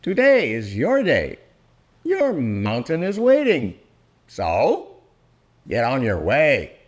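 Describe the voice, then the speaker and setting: An older man reading a rhyming children's book aloud in a theatrical, deliberate way, drawing out words for effect. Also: medium pitch, wildly swooping pitch. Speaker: storybook reader.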